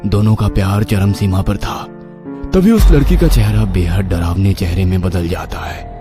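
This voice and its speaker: A man's voice: low-pitched, moderate pace. A man narrating a scripted horror story in a dramatic, suspenseful manner. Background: background music.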